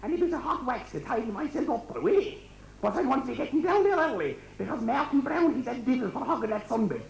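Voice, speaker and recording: A man's voice: very high-pitched, big pitch swings, quick pace, vocal fry, a little mellow, slight background noise, heavily acted. A man playing a gossipy, scolding Irish countrywoman in an amateur comic pantomime, rambling and full of exclamations. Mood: confiding, gossipy. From Ireland. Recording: echoey amateur stage recording.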